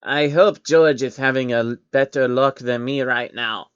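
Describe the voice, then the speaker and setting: A man's voice: medium pitch, fairly even intonation, unhurried, clean tone, dry recording, muffled recording, close to an everyday voice. A man voicing a stuffy, exasperated baron with a weary, indignant manner in a storybook reading. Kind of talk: storybook character voice in amateur fan fiction reading.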